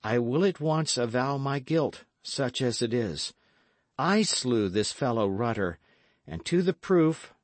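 A man voicing a bold, honest and courteous young gentleman of old England in an audiobook, speaking earnestly and formally. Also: medium pitch, animated, slow pace, dry recording. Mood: frank. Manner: earnest, formal archaic speech.

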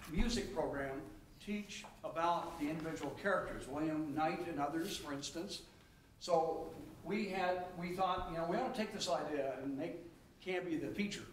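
An older man standing at a council-chamber podium, enthusiastically presenting a community program. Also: fairly high pitch. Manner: enthusiastic, promotional, brisk bursts. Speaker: citizen presenter. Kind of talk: public comment at a council meeting. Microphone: stand mic at a distance. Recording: echoey chamber, distant mic, faint hiss.

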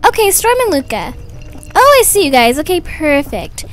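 A woman's voice: high-pitched, very animated, moderate pace, soft background music. A woman voicing a perky, self-satisfied kindergarten teacher who chats and instructs in a roleplay story. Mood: brisk, instructing. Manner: chatty, self-admiring, instructing. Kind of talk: animated doll-game roleplay voice acting.

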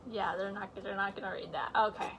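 A woman's voice: mid-range voice, steady tone, brisk, gravelly, heavy background noise. A teenage girl hosting a casual home vlog, chatty and joking, recorded on a computer camera mic in a room at home. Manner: chatty, joking, self-mocking asides; drops to hushed whispers while showing things up close. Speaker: vlog host. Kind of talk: casual chat. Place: indoor home room with shelves.

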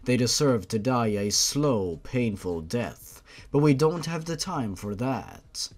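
A man's voice: mid-range voice, animated, takes it slowly. A man reading fan fiction aloud as the host of a series, in a dramatic, mock-serious storytelling manner.

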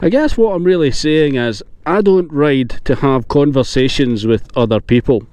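A man's voice: fairly high pitch, normal speed, darkish tone. A middle-aged man giving a casual motorcycle product review, with road and outdoor noise behind him.

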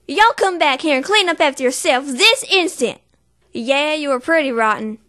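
A woman's voice: sits high, lively intonation, normal speed, sharp tone. A woman voicing an honest, hardworking female cartoon farm pony with a Southern US drawl and a plainspoken manner. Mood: folksy. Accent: Southern US (put-on). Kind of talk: cartoon voice acting reel.

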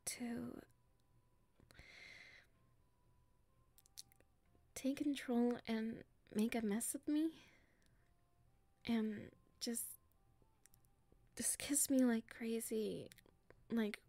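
A nervous popular schoolgirl played by a woman speaks in a fairly high, soft voice that often drops near a whisper. She takes her time, halting and pleading shyly, with a creaky edge. It is an intimate ASMR roleplay performance. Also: no room sound, exaggerated voice. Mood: flustered, nervous. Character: nervous, shy-spoken popular schoolgirl with a secret crush.

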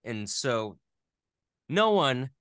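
A man speaking casually as an interview guest, rambling along with animated, geeky enthusiasm. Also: mid-range voice, very slow, clear, resonant tone, dry recording, studio quality.

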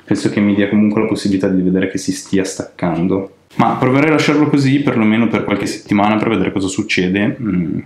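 A man's voice: on the low side, quick pace, hardly any pauses, a little mellow. A man giving a casual, chatty hands-on tutorial as a vlog host at home. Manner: colloquial, chatty, explanatory. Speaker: motovlogger and channel host. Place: indoors, living room at home. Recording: echoey home room.

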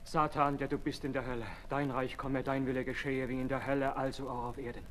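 An actor playing a fervent blasphemer who intones a dark prayer in a 1960s German radio play. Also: male voice, mid-range voice, flat delivery, a little fast, slight background noise, natural voice. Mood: fervent, blasphemous. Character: fervent male blasphemer praying to Satan.

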